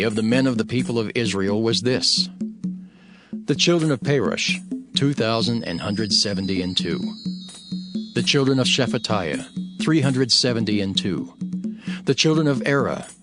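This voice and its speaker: A man playing a steadfast, devout leader who narrates his own story in a solemn, measured, declamatory scripture style. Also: fairly low pitch, lively intonation, natural voice. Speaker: narrator and lead character. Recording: music behind the narration.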